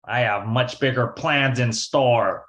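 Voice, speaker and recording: A wheedling young gambler in an old-time radio play, put on by an amateur actor. He talks in slangy wise-guy fashion at a middling pitch. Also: takes it slowly, fairly bright tone. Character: wheedling young male gambler, scheming brother.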